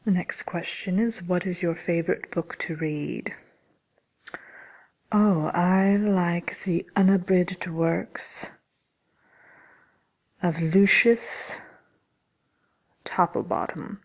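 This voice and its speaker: A woman voicing a haughty, devious wicked witch in a soft-spoken ASMR manner, with drawling, whispery phrases as she hosts a question-and-answer show. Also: fairly low pitch, steady tone. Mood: haughty, confiding. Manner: slow, deliberate, drawling, with whispery trailing phrases. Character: wicked witch, deviously gloating, haughty, fond of scaring children. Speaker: character host answering listener questions.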